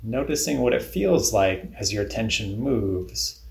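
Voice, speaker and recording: A man leading a guided body scan meditation in a calm, deliberate, instructional way. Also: fairly low pitch, gravelly, echoey room.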